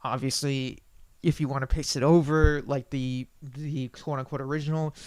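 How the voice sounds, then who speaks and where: A man presenting an unscripted software tutorial in an informal, rambling way, often correcting himself as he goes. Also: medium pitch, level tone, moderate pace, clean tone, dry recording.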